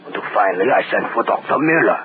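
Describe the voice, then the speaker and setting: An actor playing an old village mayor in a 1930s radio drama, with formal, halting, theatrical phrasing. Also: male voice, on the low side, expressive, lightly acted. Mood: callous, irritable. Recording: noisy tinny 1930s radio recording.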